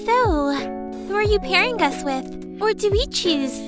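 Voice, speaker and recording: A woman's voice: very high-pitched, animated, unhurried. A woman playing a small, timid, anxious youngster who is easily flustered, in a fan audio drama. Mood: timid, unsure. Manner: hesitant, flustered. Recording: music under the voice.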